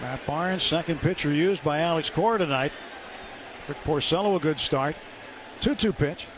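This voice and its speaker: A man's voice: fairly high pitch, moderate pace. A man calling baseball play-by-play live on the radio in short, clipped phrases. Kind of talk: live radio sports commentary. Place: stadium broadcast booth. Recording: steady stadium noise behind voice. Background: ballpark ambience.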